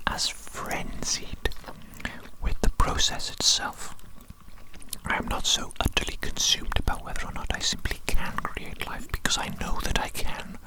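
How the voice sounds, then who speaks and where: Whispered ASMR, evil doctor character, megalomaniac and doting, theatrical, deliberate, repetitive phrasing. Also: low-quality recording. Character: megalomaniac evil scientist doting on his creation. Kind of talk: whispered ASMR roleplay monologue.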